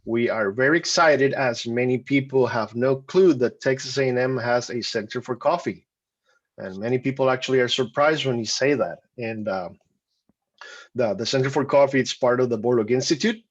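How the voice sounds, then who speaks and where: A man presenting an online webinar in an easygoing way, often pausing and restarting his sentences. His voice sits at an ordinary middle pitch. The audio comes through a video call and sounds a little dull and lo-fi. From Costa Rica. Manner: conversational with frequent fillers and restarts. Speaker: webinar presenter, program coordinator.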